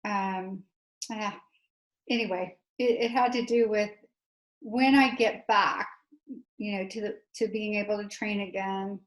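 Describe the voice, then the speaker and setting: A woman's voice: mid-range voice, steady tone, measured pace, reverberant, rough recording. A woman hosting a webinar discussion, rambling with many restarts. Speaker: webinar host. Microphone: video call.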